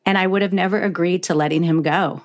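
A woman's voice: lowish voice, fairly even intonation, quick pace. A middle-aged woman hosting a heartfelt conversational interview, reflective and acting out imagined remarks as she talks. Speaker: podcast host interviewing a guest.

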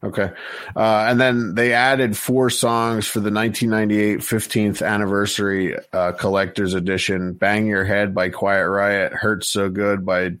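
A man hosting a podcast, reading out a track list in a plain, steady way with many fillers. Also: lowish voice, normal speed, dry recording. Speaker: podcast host.

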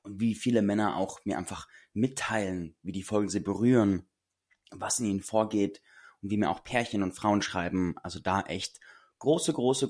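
A man hosting a podcast and speaking earnestly to listeners, a little hoarse today. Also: low voice, darkish tone. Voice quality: husky. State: a bit hoarse. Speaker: podcast host and coach. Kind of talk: solo podcast talk.

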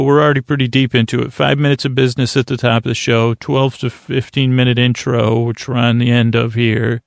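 Rambling, halting man with a gravelly creak. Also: mid-range voice, no echo. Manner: meandering, halting, stammering, trailing off mid-phrase; whimsical, mock-earnest. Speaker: podcast host. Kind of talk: rambling, improvised bedtime monologue to help listeners sleep.

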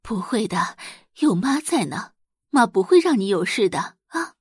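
A woman's voice: mid-range voice, sing-song, measured pace, darkish tone, breathy edge, no room sound, studio quality, heavily acted. A woman voicing a protective, tender middle-aged mother with an emotional delivery in an audiobook drama. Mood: soothing. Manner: soothing, emotional.

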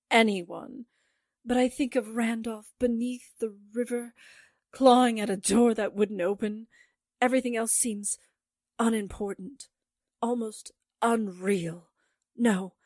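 A woman playing a scheming former film star widow in a 1940s-style radio drama, theatrical and halting in delivery. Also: a little high, a little mellow, no echo, clean studio sound.

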